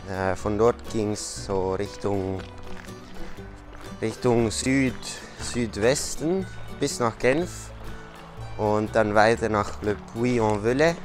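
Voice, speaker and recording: Man, twenties, Swiss accent, unhurried halting delivery, casual interview, outdoors, music behind. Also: lowish voice. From Switzerland. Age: 20s. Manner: hesitant, measured, halting phrasing. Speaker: interview guest, pilgrim. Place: outdoors on a field path and at a garden table.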